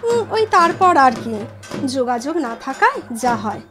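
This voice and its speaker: Woman acting a high-voiced, playful woman. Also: normal speed, music in the background. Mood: teasing, cheerful. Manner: teasing, coaxing, girlish excitement. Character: playful Bengali woman back from London meeting old college friends. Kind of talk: Bengali audio drama acting.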